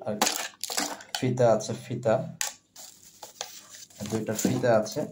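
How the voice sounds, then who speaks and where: Bangladeshi man pitching products over background noise. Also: level tone. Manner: repetitive, halting phrases. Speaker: seller presenting products. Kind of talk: product demonstration sales pitch.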